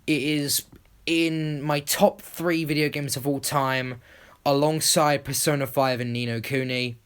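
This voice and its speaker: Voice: mid-range voice, fairly even intonation, unhurried, smooth. A YouTube creator giving a casual, enthusiastic voice-over that rambles along like an informal chat.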